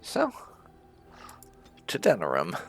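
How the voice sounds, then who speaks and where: A man's voice: deep voice, huge pitch swings, takes it slowly, vocal fry, over music. A man giving casual commentary as host of a game playthrough. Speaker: gaming video host.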